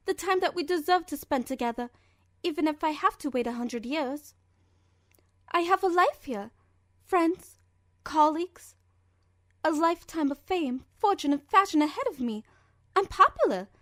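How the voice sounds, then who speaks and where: A woman's voice: extremely high pitch, fairly bright tone, dry recording, clean studio sound. A woman voicing a refined, lovestruck young female pony fashionista, theatrical and elegant, in an audio drama letter reading.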